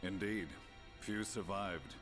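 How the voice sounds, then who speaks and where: Game role: grave ex-commander, middle-aged, deep-voiced, measured and archaic in speech, weighed by regret. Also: male voice, fairly even intonation, music in the background. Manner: solemn, measured, archaic formal diction. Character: grave, formal, remorseful middle-aged male former imperial commander. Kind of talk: video game cutscene voice acting.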